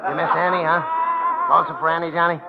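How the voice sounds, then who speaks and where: An actor playing an excitable, needling, party-loving gangster sidekick in a 1950s radio drama. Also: male voice, a little high, racing, vocal fry, loud music behind the voice. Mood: wheedling. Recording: muffled vintage radio, loud crowd.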